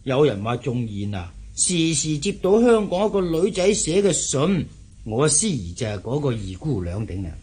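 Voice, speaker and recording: An actor playing a resigned older husband and household master in a Cantonese radio period drama, reasoning gently in a Hong Kong accent. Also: male voice, on the high side, sing-song, normal speed, breathy edge, full character voice.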